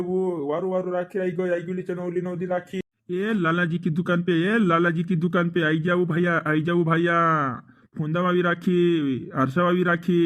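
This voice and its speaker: A man's voice: high voice, lightly acted. A man voicing a pushy, cheerful market stall seller in a comedy cartoon, chanting repeated sales calls with a rural western Madhya Pradesh accent. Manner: repetitive hawking chant. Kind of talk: cartoon street-vendor calling.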